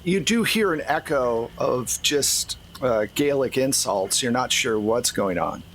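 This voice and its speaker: A man's voice: mid-range voice, lively intonation, a little slow, fairly bright tone. Man running a tabletop game, noisy recording. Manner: explanatory, checks in with players. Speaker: game master. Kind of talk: narrating and refereeing a tabletop game. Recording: clearly noisy background.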